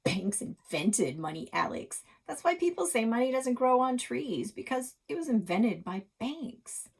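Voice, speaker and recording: A woman's voice: on the high side, sing-song, measured pace, creaky, husky, pitched above the performer's own voice, full character voice. A woman voicing a bold, curious young tomboy in a storybook, eager and blurting. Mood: know-it-all. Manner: eager, blurting, matter-of-fact.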